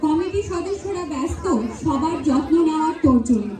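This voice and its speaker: A woman's voice: sits high, lively intonation, brisk. A woman reading scripted, descriptive narration for a stage play. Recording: very echoey hall, distant, music, crowd.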